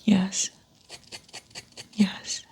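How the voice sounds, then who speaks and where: A woman acts as the patient in a quiet ASMR medical roleplay. Her replies are brief and hushed, close to a whisper, and delivered very slowly.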